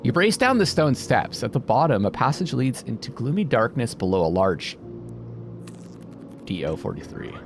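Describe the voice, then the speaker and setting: A man giving let's-play commentary, reading game text aloud dramatically and thinking out loud as he plays. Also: mid-range voice, very animated, moderate pace. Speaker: video game streamer playing a narrative game. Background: music behind the voice.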